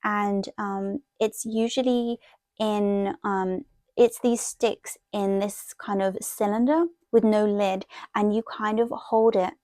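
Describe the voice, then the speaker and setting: A woman's voice: mid-range voice, little pitch movement, slow pace, darkish tone, dry recording, studio quality. A woman chatting casually and ramblingly as she talks viewers through something in an informal show-and-tell. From half Thai, half Italian heritage. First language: Thai. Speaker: planner and journaling vlogger.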